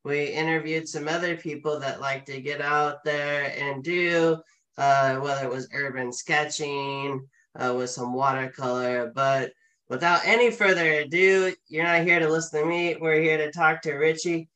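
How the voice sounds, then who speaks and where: A man's voice: a little high, fairly even intonation, bright, forceful tone, rough recording. A man in his forties hosting, giving an upbeat intro and asking interview questions over a video call. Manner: enthusiastic, quick, with fillers. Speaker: host. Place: indoor room, video call from a home studio. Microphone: webcam on video call.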